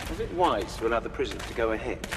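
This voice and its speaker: An actor playing a weary, sceptical soldier in a sci-fi audio drama, complaining pointedly in a reverberant tunnel. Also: male voice, mid-range voice, very animated, rapid-fire, noisy background. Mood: weary, doubtful.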